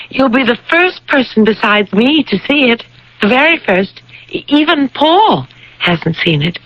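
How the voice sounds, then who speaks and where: An actress playing a gentle, insistent young bride-to-be in a vintage radio drama, with deliberate, pleading delivery. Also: female voice, a little high. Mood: coaxing. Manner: slow, deliberate, pleading, repeats phrases. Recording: dull vintage radio broadcast recording.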